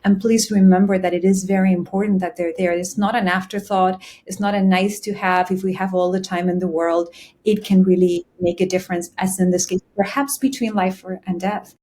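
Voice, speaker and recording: A woman guest, president of an interpreters' society, speaking in a measured, emphatic and thoughtful way. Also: medium pitch, little pitch movement, dark tone. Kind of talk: conversational interview.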